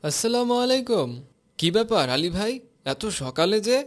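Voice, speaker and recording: A man's voice: high voice, lively intonation, normal speed, music in the background. A man playing a kindly, supportive village imam in a cartoon. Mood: surprised. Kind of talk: cartoon dialogue.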